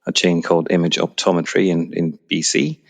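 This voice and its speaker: A man's voice: sits low, little pitch movement, brisk, vocal fry. A middle-aged Scottish man answering interview questions conversationally as an executive guest. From Edinburgh, Scotland.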